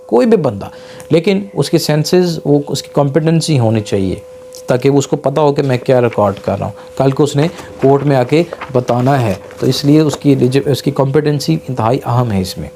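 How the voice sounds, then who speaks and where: A Pakistani man speaks as an advocate giving a legal lesson. His voice is dark and relaxed at an average pitch, and he switches into slow, halting English when reading aloud. A faint hum and noise sit behind him. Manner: reads English quotations slowly and haltingly, then explains. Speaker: advocate giving a law lecture. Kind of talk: explanatory legal lesson mixing in English legal passages read aloud. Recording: noticeable background noise and hum.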